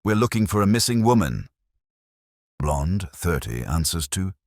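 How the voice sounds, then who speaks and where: A man voicing a gruff, impatient police lieutenant in a detective audiobook, curt and barking. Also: low voice, big pitch swings, measured pace, no echo, studio quality, voice pushed up, heavily acted. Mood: brisk, demanding.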